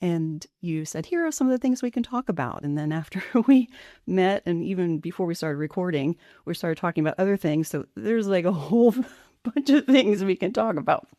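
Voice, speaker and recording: Low, mellow-voiced podcast host woman. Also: expressive, brisk, dry recording, clean studio sound. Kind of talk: podcast intro and interview.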